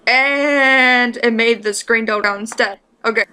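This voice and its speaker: Female vlogger, slightly high voice, casual and halting, hosting a homemade reaction video. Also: pushed and bright, smooth. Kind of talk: casual chat to camera.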